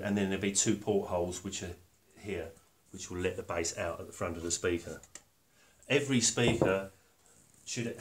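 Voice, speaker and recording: Low-voiced older man in an echoey workshop. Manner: halting, matter-of-fact, thinking aloud while working. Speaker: woodworker demonstrating his build. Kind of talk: casual step-by-step demonstration. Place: concrete-block workshop and house room. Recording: echoey room, faint noise, handling clicks.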